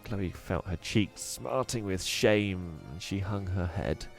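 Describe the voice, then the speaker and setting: A man reading a children's book aloud in an expressive, animated way. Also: sits low, takes it slowly, vocal fry, soft background music. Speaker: storyteller.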